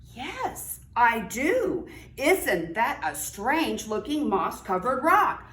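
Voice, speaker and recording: Agreeable storybook elephant, a woman reading, lively pitch. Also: takes it slowly, full character voice. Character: gullible, agreeable male elephant of folktale. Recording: roomy, distant mic with faint noise.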